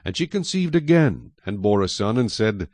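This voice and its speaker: A man narrating scripture dramatically, in an expressive storytelling manner. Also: fairly low pitch, normal speed, dry recording.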